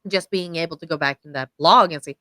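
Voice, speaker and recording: A woman's voice: sits low, fast talker, no echo. A woman from Sweden hosting a podcast, chatting casually and telling stories with acted-out quotes. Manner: animated storyteller, acting out quoted lines. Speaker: podcast host.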